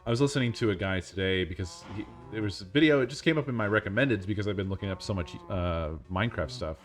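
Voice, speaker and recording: Male streamer, deep and monotone, speaks slowly, casual chat over light background music. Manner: monotone, unhurried talk. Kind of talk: casual chat while playing a game.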